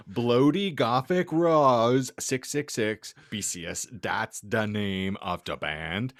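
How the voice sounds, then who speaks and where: A man's voice: lowish voice, gravelly, fairly bright tone, no echo, clean studio sound. A man hosting a podcast, giving a comedic, mock-serious dramatic reading with banter. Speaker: podcast host.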